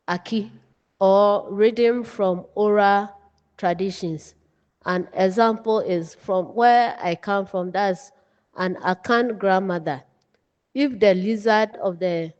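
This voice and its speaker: A Ghanaian woman delivers a lesson at a slow, deliberate pace. She breaks her teaching into short, emphatic phrases. The recording is dull and muffled, with long reverb around her voice. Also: mid-range voice, a little mellow. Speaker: writing teacher. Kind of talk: instructional lecture from notes.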